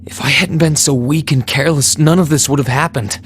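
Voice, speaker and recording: A man playing an earnest, kind young hero in a video game, speaking in a gentle, thoughtful and sincere way. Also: on the high side, a little fast, slight background noise, natural voice. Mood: tender, apologetic. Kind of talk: dramatic video game voice acting.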